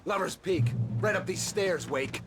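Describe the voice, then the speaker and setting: An actor playing a bossy, cocky armed kidnapper in a video game, barking and taunting in folksy slang. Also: male voice, high-pitched, unhurried, noisy background. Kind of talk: video game cutscene acting.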